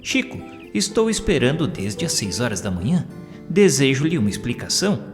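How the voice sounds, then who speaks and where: A man's voice: medium pitch, very animated, moderate pace, voice pushed up, natural voice. A Brazilian man voicing a devout village woman in an audiobook, plain, earnest and polite. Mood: expectant. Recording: long hall reverb, music underneath.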